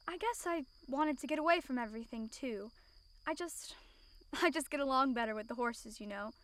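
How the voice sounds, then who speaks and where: A woman's voice: sits high, expressive, normal speed, fairly bright tone. A woman playing a lonely, courteous young royal outsider in an amateur audio drama, speaking earnestly and formally. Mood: wistful.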